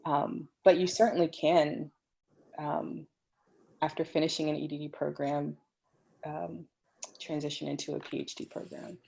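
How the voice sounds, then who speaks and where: A woman answering questions in an online webinar, explaining at a measured pace with frequent ums. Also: sits low, level tone, creaky, warm tone, poor audio. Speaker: program faculty answering questions. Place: home office on a video call. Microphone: computer microphone.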